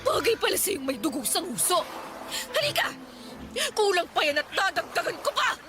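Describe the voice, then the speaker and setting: High-pitched, fiery wronged young woman. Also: sing-song, moderate pace. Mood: furious, defiant. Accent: Filipino. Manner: shouting, accusing, defiant. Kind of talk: Filipino radio drama acting. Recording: dramatic music bed behind voice.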